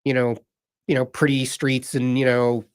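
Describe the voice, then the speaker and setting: A man's voice: medium pitch, monotone, normal speed, clean tone. A man appearing as a guest doctor in a radio studio interview, telling stories in a chatty, self-deprecating way. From Philadelphia area, USA. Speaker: guest, medical director. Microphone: studio microphone.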